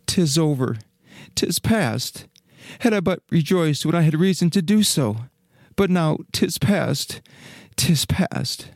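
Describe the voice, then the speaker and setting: A man's voice: on the high side, animated, slow, no room sound, studio quality. A man playing a wistful fir tree in an audio storybook, with a sighing, old-fashioned way of speaking. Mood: regretful. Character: wistful fir tree, regretful yet eager, male.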